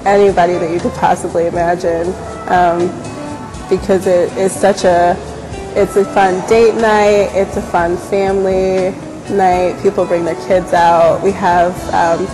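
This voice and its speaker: Gravelly young woman. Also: on the low side, poor audio. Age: young adult. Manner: casual, with frequent fillers and restarts. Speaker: glass studio staff member. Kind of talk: on-camera interview about a venue. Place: large indoor gallery space. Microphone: lapel mic. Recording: music bed behind the voice.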